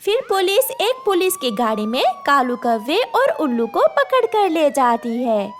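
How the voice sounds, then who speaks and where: A woman's voice: high voice, big pitch swings, measured pace, a little hiss or hum. A woman reading scripted narration as the storyteller of a children's tale.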